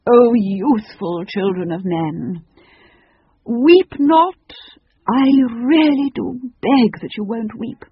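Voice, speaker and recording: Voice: medium pitch, sing-song, a little slow, breathy edge, no room sound, dull audio, voice pushed up, full character voice. A reader voicing a pompous ancient magical bird in a storybook, with grand, archaic, declamatory phrasing. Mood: solemn, melodramatic.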